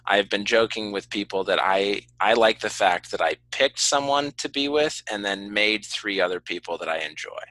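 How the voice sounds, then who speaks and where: A man's voice: lowish voice, normal speed, hardly any pauses, sharp tone, dry recording, poor audio. A man hosting a casual podcast chat, reacting with short interjections as he listens. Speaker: podcast host.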